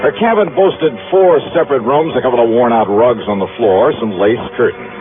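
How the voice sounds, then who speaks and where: A man's voice: medium pitch, moderate pace, lightly acted. An actor playing a tough, confident American investigator, narrating his case in 1950s radio drama style. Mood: wry narrating. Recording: tinny old radio broadcast with music.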